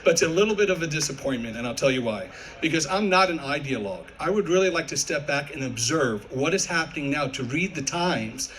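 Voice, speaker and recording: A man's voice: on the high side, lively intonation, brisk, fairly bright tone, slight background noise, poor audio. A man, a history professor, debating live with probing questions and academic wording.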